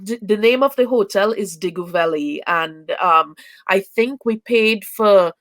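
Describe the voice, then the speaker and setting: A woman's voice: mid-range voice, a little slow. A woman from Trinidad, a young adult and a traveling parent, chats as an interview guest, full of fillers and restarts. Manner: chatty, many fillers and restarts. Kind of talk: casual video chat. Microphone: video call.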